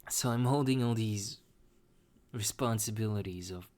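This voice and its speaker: A young man talking slowly and hesitantly in a personal confessional monologue, with many restarts. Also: on the low side, a little mellow. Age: young adult. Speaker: vlogger.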